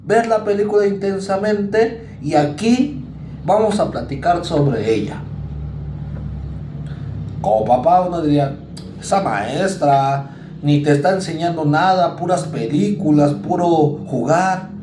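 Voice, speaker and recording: A male university lecturer with a Mexican accent, giving a conversational psychology class and acting out students and parents in quick asides. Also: fairly high pitch, warm tone, echoey room, slight background noise, low-quality recording. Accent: Mexican Spanish.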